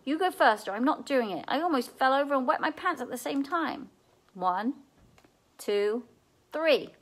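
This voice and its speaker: A woman's voice: sits high, lively intonation, moderate pace, pitched above the performer's own voice. Woman reading as a practical, bossy young girl. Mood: bossy, urgent.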